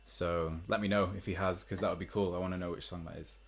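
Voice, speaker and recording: A young man with a deep voice, chatting casually to live-stream viewers between songs, rambling with many ums. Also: steady tone, quick pace. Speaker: musician hosting a live stream. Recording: faint guitar behind.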